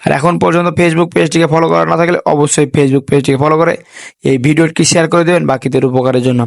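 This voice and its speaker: A man's voice: fairly high pitch, steady tone, fast talker, gravelly, no room sound, studio quality. A man hosting a tech tutorial voice-over, speaking in repetitive set phrases sprinkled with English words. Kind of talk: instructional voice-over addressing viewers.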